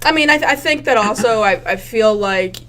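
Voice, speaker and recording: A young woman co-hosts a relaxed podcast chat. She talks in an animated, slangy way and swears freely. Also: on the high side, a little fast, slight background noise. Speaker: podcast co-host. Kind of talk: casual chat.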